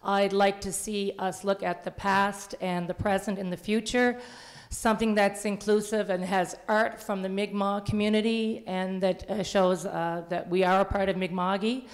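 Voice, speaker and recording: Chatty, quick-talking woman in her forties. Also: mid-range voice, steady tone. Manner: quick, chatty and openly nervous. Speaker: public meeting speaker. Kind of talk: speaking at a public consultation. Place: public meeting hall.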